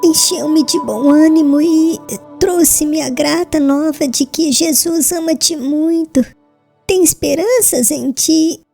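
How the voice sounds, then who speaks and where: A woman acts out a serene young woman on her deathbed in a solemn audiobook drama. Her voice is high and soft-edged, with little creak. She speaks very slowly and haltingly, tender and devout, as if spending her last breath, over faint music. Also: heavily acted. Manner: slow, halting, breathless last-words delivery.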